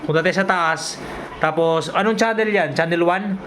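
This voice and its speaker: A man's voice: sits high, moderate pace, a crowd in the background. A man with a Filipino accent chatting casually as a vlog host, informally addressing viewers and instructing helpers.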